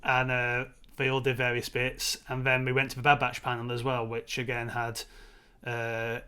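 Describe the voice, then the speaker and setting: A man's voice: mid-range voice, monotone, moderate pace, pushed and bright, clear, resonant tone. Rambling, enthusiastic man in casual chat. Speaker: co-host of a film discussion show.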